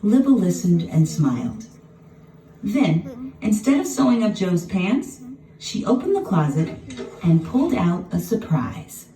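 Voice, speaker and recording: A woman narrating a children's storybook in an expressive, dramatic scripted style. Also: mid-range voice, a little slow. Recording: roomy, distant sound with music behind.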